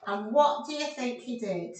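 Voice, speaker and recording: Very animated woman reading a children's story. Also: fairly high pitch, normal speed. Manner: animated, questioning, asks and answers for little listeners. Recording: roomy echo, distant microphone.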